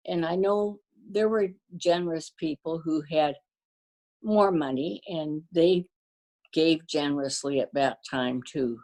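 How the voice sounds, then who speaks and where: An older woman, born to a Danish-speaking family, reminiscing about her community in a casual interview, pausing often with fillers and restarts. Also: sits low. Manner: warm storyteller, halting with fillers and restarts. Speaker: guest.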